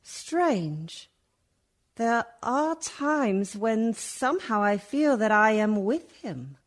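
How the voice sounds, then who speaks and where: A woman plays a haunted young Victorian woman in a radio drama. Her voice is fairly high and slow, dreamy and dramatic, as if held under a spell. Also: very animated, full character voice. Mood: troubled, wondering. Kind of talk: radio play acting.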